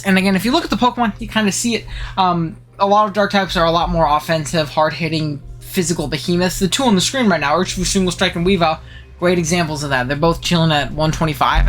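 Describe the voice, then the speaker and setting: A man's voice: sits high, fairly bright tone. A man hosting a casual game-analysis show, talking chattily and enthusiastically while sniffling from a head cold. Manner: fast, chatty, enthusiastic gamer talk with fillers. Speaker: channel host.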